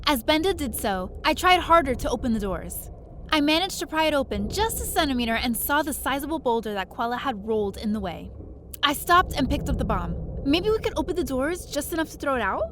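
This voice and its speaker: Audio drama lead: quick-witted young-sounding heroine played by a woman, high and animated, narrating and acting dramatically. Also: background noise. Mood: tense narration. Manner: expressive, dramatic. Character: plucky, quick-thinking woman space adventurer telling her story.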